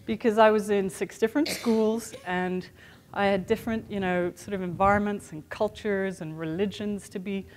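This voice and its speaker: A woman's voice: mid-range voice, steady tone, normal speed, darkish tone, slight background noise. A woman with a mixed international accent gives a motivational keynote. She talks in a candid, chatty way, full of small fillers. The sound comes from a hotel conference room. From born in the United States, raised in Europe and Asia. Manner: conversational and candid, with many "sort of" and "you know" fillers. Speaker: keynote speaker.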